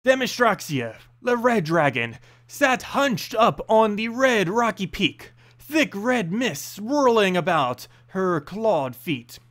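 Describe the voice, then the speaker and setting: A man's voice: high-pitched, big pitch swings, slow pace, fairly bright tone. A man reading a fantasy story aloud as a narrator, with a dramatic storytelling delivery.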